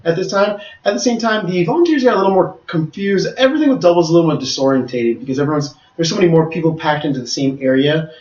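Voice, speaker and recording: A man's voice: fairly high pitch, expressive, fast, hardly any pauses, a little mellow. A man organizing tournaments, talking through a casual podcast recap in a rambling way with many restarts. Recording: roomy, distant, dull mic.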